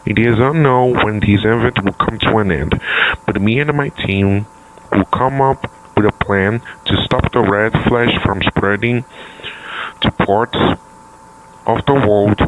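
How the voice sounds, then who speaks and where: Low-voiced solemn official played by a man. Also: lightly acted, rough recording. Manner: measured, formal. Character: solemn male official addressing public about catastrophe. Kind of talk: scripted formal address. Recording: faint background noise.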